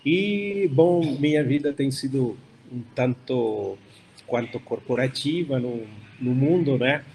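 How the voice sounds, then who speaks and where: Older Chilean-accented man, mellow voice. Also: medium pitch, slight background noise, poor audio. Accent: Chilean Spanish. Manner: unhurried, many fillers and restarts. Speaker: startup founder panelist. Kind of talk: hybrid panel talk.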